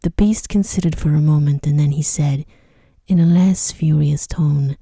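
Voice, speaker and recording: Very soft, mellow, breathy woman narrator. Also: low voice, steady tone, moderate pace, no echo, clean studio sound. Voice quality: soft, breathy, often near whisper. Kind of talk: soft-spoken ASMR sleep story narration. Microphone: close microphone.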